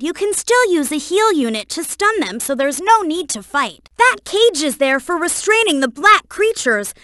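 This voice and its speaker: Little boy fox game sidekick, super high and bright, brainy n eager, earnest helper. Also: no echo, studio quality, exaggerated voice. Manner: earnest, instructive, explains carefully. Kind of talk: video game voice acting.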